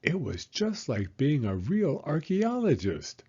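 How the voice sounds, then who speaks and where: A man reading a children's storybook aloud in an expressive, deliberate storytelling manner. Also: fairly high pitch, husky, lo-fi sound. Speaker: storybook reader. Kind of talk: children's read-aloud narration.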